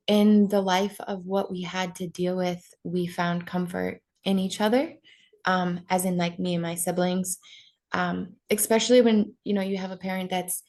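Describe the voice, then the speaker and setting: A woman's voice: fairly low pitch, a little mellow. A young woman from Maine telling her life story in an interview, candid, with many ums and likes. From Maine, USA. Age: 20s. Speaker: podcast guest. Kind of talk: personal interview talk.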